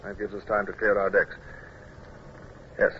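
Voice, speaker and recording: An actor playing a middle-aged British naval commodore in an old-time radio drama, clipped, commanding and urbane, who also narrates the battle. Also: male voice, on the low side, completely flat delivery, normal speed, close to an everyday voice. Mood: measured, confident. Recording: dull, noisy old radio broadcast recording.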